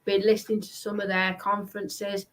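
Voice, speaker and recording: A woman's voice: mid-range voice, completely flat delivery, normal speed. A British woman chatting casually about politics, making her points emphatically. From United Kingdom.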